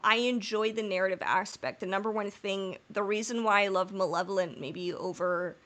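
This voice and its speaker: A woman's voice: medium pitch, steady tone, moderate pace, a bit bright. A woman co-hosting a podcast in casual chat. She talks in long, rambling, candid stretches, swears freely and laughs through her own jokes. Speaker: podcast co-host.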